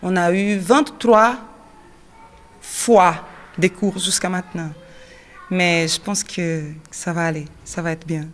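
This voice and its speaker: A woman in her thirties from Brazil, a drama teacher and actress, answering interview questions in French with a Brazilian Portuguese accent, often pausing with fillers and searching for words. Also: on the low side, steady tone. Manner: searching non-native French, frequent fillers and quick laughs.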